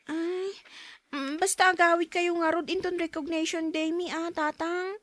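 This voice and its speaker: A woman's voice: extremely high pitch, fairly even intonation, unhurried, fairly bright tone, dry recording. A woman playing a dutiful, affectionate young schoolgirl daughter in a radio drama. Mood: earnest, affectionate. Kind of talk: Ilocano radio drama acting.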